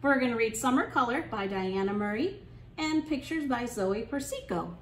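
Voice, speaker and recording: A woman hosting an interactive children's storytime, speaking in an encouraging, sing-song way and pausing with questions for young listeners. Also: fairly high pitch, lively intonation, roomy sound, a little hiss or hum. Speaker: children's storytime librarian and host. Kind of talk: interactive children's storytime, reading aloud and leading songs.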